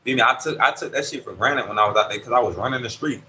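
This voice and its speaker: A man's voice: mid-range voice, racing, pushed and bright, slight background noise. A man in his thirties, an incarcerated interviewee, talking casually in street slang and often checking in with the listener. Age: 30s. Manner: street slang, keeps asking "you see what I'm saying". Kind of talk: casual conversational storytelling. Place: prison dorm.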